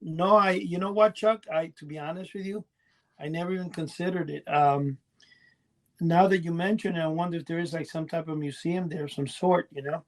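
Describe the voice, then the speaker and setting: A man with a distinctly high voice talks as a podcast co-host. He explains things in a casual, meandering way, often backing up to correct his own words. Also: fairly even intonation, fairly quick. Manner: rambling, self-correcting.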